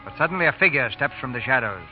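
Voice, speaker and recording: A man's voice: mid-range voice, big pitch swings, fast. A man narrating a scripted radio drama in a theatrical 1940s storytelling style. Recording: dull old radio recording. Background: music.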